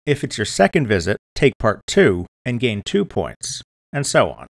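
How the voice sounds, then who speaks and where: A man giving scripted instructional narration in a clear, methodical, step-by-step manner, as a tutorial presenter. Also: medium pitch, sing-song, normal speed, no room sound, clean studio sound. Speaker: board game tutorial presenter.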